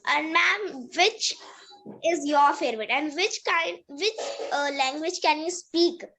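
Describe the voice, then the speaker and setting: A woman's voice: high voice, slow, crowd noise. A girl with an Indian English accent and Hindi as her first language, practicing English conversation hesitantly on a video call. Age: young. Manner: hesitant, searching for words. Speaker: student.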